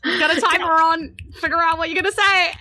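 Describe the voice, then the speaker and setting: A woman running a tabletop role-playing game, narrating casually and teasing the players in an animated, laughing way. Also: extremely high pitch, fast talker. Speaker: game master of a tabletop RPG podcast.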